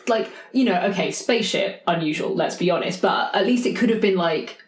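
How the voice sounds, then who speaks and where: A woman's voice: on the low side, fairly quick. A woman playing a tabletop roleplaying game, chatting casually and asking inquisitive questions in an informal way. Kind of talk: casual chat during improvised play. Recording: roomy, echoing group recording.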